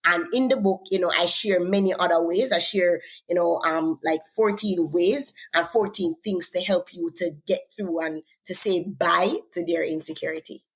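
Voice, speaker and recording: A woman's voice: fairly low pitch, moderate pace, few pauses. A woman with a Jamaican accent, a guest author giving encouraging, faith-centered advice in an interview. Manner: earnest, encouraging, repeats words when thinking. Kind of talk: faith-based interview talk.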